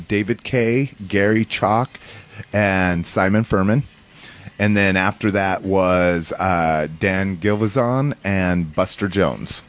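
Rambling man with a lowish voice. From United States. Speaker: podcast host. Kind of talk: casual chat, recounting a fan convention.

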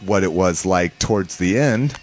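A man from the United States chatting casually as a podcast host, in a rambling, halting, informal way. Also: low-pitched, expressive, faint music behind. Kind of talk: casual chat, recounting a fan convention.

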